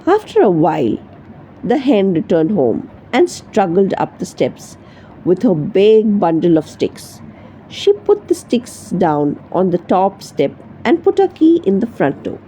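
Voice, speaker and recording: Mellow, animated woman reading a storybook. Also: medium pitch, a little slow, slight background noise, low-quality recording. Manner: expressive read-aloud storytelling. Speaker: storybook reader. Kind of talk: scripted children's story narration.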